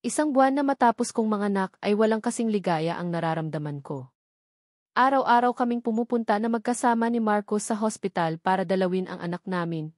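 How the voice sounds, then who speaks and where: A woman's voice: on the low side, darkish tone, no room sound. Woman narrating a story, very dry sound. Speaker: audiobook narrator. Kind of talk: scripted first-person story narration with voiced dialogue.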